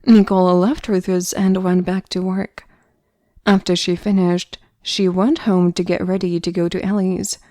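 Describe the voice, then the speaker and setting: A woman narrates a scripted audio story. Her voice sits a little low and is dark and relaxed. She tells it as the narrator. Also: a little slow, dry recording, clean studio sound.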